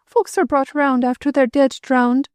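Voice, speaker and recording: A woman's voice: sits high, fairly even intonation, measured pace, no echo, pitched above the performer's own voice. A woman voicing an ailing, grieving poor wife with a put-on Northern English dialect, querulous and rising into wild pleading. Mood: frantic grief.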